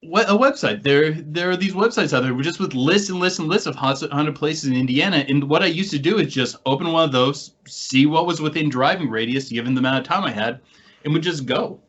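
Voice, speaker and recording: An American man hosting a podcast, telling anecdotes in a casual, animated storytelling way. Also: fairly high pitch, brisk. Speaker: podcast host and paranormal investigator. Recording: dull, lo-fi online call audio.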